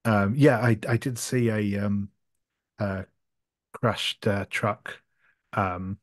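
A man's voice: on the low side, fairly even intonation, takes it slowly, no room sound. Man as a hesitant, polite traveller, dry sound. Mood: uneasy, casual. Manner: hesitant, stammering. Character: polite, awkward male traveller, unsure and mild. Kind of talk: improvised tabletop roleplay. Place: home room on a video call.

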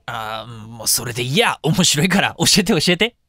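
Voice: medium pitch, big pitch swings, bright, forceful tone, no echo, studio quality. A performer playing a cheeky, incredulous young man with slangy, exclamatory speech in a comedic voice drama. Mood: cheeky, curious. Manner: slangy, quick-reacting, exclamatory.

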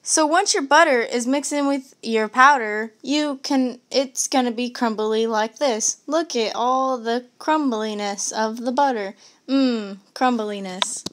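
A young adult woman giving a casual, comedic cooking tutorial in a home kitchen, with playful asides and drawn-out words. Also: high-pitched, sharp tone. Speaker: host of a home cooking video. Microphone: camera on counter at about arm's length. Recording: background kitchen noise, clicks.

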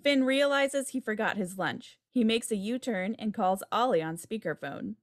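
A woman's voice: a little high, moderate pace. A woman reading scripted stage directions at a table read over a video call. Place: indoor room, video call. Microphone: video call microphone.

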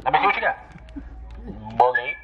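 A man in his twenties bantering loudly and playfully in slang for a casual vlog. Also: medium pitch, lively intonation, brisk, dull audio, a little hiss or hum. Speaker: vlogger pranking customers with a bullhorn. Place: motorcycle dealership showroom and its parking lot. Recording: loud music over the voice.

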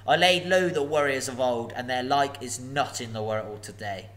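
A man voicing a huge, boastful old male dragon, slow and emphatic as he gloats and threatens. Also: medium pitch, fairly bright tone, close to an everyday voice. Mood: gloating.

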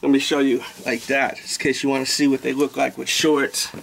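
A man's voice: mid-range voice, level tone, fairly quick, gravelly, a little hiss or hum, rough recording. A man in his thirties with an American accent hosting a casual sneaker review, using slangy hype-man patter and catchphrases, recorded indoors a few steps from the camera. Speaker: sneaker review show host. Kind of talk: casual vlog product review. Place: small indoor room.

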